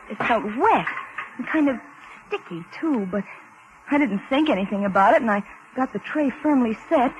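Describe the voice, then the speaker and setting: Noisy old-radio recording, weary young American car hop, played by an actress. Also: female voice, a little high, highs cut off, close to an everyday voice. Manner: wry, confiding, working-class plain talk. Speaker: narrator and lead character. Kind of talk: 1940s radio suspense acting, first-person narration.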